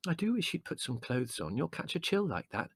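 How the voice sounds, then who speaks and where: A man voicing a gentle, vague middle-aged mother who soothes and mildly scolds in a storybook. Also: on the high side, very animated, normal speed, slightly rough, no echo, pitched above the performer's own voice. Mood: soothing.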